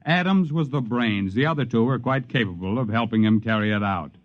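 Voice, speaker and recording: A man's voice: medium pitch, animated, a little fast, dry recording, lightly acted. Guy doing pushy earnest researcher, dry dull old radio sound. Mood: insistent. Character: earnest, insistent male government researcher. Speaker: Les, project advocate. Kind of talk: 1950s radio drama acting. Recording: dry lo-fi 1950s radio broadcast.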